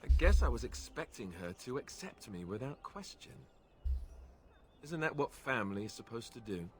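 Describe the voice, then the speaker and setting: A man's voice: mid-range voice, very animated, moderate pace, slight background noise, close to an everyday voice. An actor playing a dejected, vulnerable young male warrior in a video game, speaking in an earnest, hesitant way. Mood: hurt, dejected.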